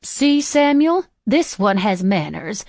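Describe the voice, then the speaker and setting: A woman voicing a chatty, doting elderly woman who talks slowly and tenderly, in an audiobook. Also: medium pitch, sing-song, darkish tone, dry recording, studio quality, pitched above the performer's own voice. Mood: sweet, doting. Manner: slow, lilting and motherly.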